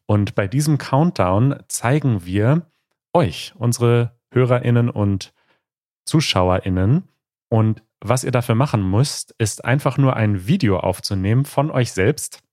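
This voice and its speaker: A man, co-hosting a casual podcast chat in a relaxed, friendly way and laughing easily. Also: mid-range voice, takes it slowly, no echo, clean studio sound. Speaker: podcast co-host. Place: new office.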